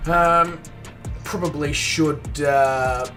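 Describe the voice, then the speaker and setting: A hoarse Australian man streaming a flight sim with a raised voice, chatting loosely and thinking aloud as he goes. He sits a little back from the mic, and clear music plays under his talk. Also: on the high side, steady tone, very slow, far from the mic. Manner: chatty, greets viewers, thinks aloud with many fillers. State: hoarse, recovering from a lost voice. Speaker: game streamer. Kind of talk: casual live-stream commentary while flying a simulator. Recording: a bit off-mic with music behind.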